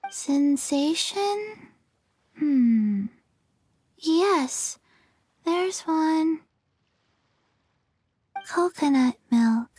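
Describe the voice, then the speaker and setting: A woman voicing a sleepy, blunt little undead girl in a video game, soft, childlike, halting and deadpan. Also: sits high, no echo, exaggerated voice. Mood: slow, pondering. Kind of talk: video game character acting.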